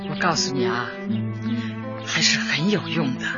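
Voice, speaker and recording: A woman playing a kindly, plain-spoken community party secretary in a Chinese radio drama, motherly as she advises and gently scolds. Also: rumbling low, lively intonation, moderate pace. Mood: earnest, motherly. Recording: muffled radio drama with music underneath.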